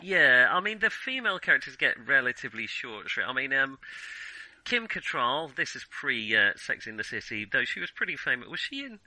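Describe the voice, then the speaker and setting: Guy doing a casual movie podcast, really bright forceful voice, hesitant, super dry sound. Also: medium pitch, sing-song, a little fast, no echo, low-quality recording. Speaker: podcast host. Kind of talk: casual film discussion.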